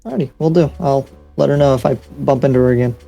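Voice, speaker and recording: A man playing a dutiful, resolute demon slayer in an anime roleplay, speaking in a measured, plain-spoken way. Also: mid-range voice, vocal fry, mellow, lightly acted. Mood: agreeable. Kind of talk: improvised Minecraft anime roleplay. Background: music under the voice.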